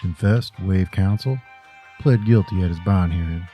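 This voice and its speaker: A brusque prison warden acted by a man, deep and dark in tone, with music underneath. He talks with dry official authority, as in a horror radio play. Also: moderate pace, close to an everyday voice. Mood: businesslike. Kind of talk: horror audio drama acting.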